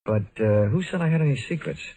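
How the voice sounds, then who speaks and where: An American man playing a cool, flirtatious hardboiled investigator with wry, deadpan delivery in a 1950s radio drama. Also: medium pitch, brisk, dry recording. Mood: flirtatious banter. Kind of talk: 1950s radio detective drama acting, first-person narration.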